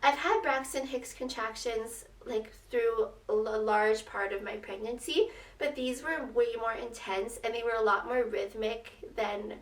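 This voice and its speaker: A young woman with a slightly raspy voice, telling a personal story in a casual, chatty, expressive way, as a vlogger would. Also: fairly high pitch, measured pace. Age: young adult. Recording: roomy echo, distant microphone.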